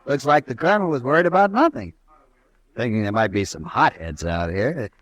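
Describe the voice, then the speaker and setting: An actor playing a brash, mocking young army lieutenant in a 1950s radio drama, swaggering and quick to laugh at others. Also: male voice, mid-range voice, animated, fairly bright tone, dry recording, natural voice. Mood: taunting. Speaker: cavalry lieutenant.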